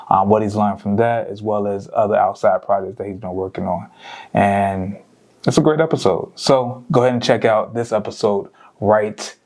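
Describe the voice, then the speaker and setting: A man hosting an interview show, chatting casually and hyping up his guest, with quick bursts and slow stressed phrases. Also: fairly low pitch, darkish tone.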